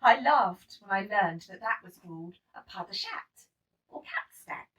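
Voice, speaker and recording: A woman reading a children's story aloud in an expressive, emphatic storytelling manner. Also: medium pitch, far from the mic, slight background noise, low-quality recording. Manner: animated, emphatic storytelling with acted-out dialogue. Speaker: storybook reader.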